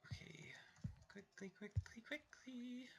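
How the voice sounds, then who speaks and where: A young man casually narrating his game as he plays, rambling and talking to himself, his voice going a little hoarse. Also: very high-pitched, takes it slowly, whispered. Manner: rambling, self-talking, distracted asides. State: voice getting hoarse. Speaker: let's play host. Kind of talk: casual gameplay commentary.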